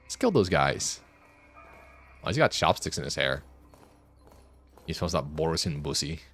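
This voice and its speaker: A man's voice: rumbling low, big pitch swings, normal speed, fairly bright tone. A man streaming a game with casual, joking commentary, sometimes crude, talking to himself and reading text aloud. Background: video game music and dialogue.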